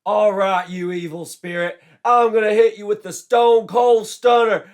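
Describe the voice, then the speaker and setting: A man's voice: high-pitched, steady tone, unhurried, voice pushed up. A man voicing a brash, boastful young wrestler and suitor in a storybook tale with bombastic declarations. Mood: boastful fury.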